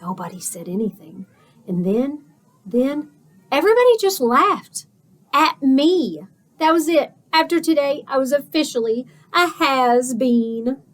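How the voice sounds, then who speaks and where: A woman reading a picture book aloud like a teacher, with expressive narration, dramatic pauses and stretched-out key words. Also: high-pitched.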